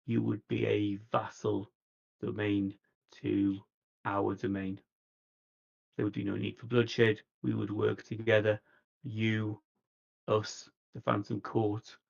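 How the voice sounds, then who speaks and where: Man as earnest vampire envoy in tabletop play: creaky mid-pitched voice, measured and persuasive, dull lo-fi livestream sound. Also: little pitch movement, exaggerated voice. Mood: conciliatory. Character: earnest, diplomatic vampire envoy seeking a truce. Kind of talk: in-character tabletop roleplay dialogue.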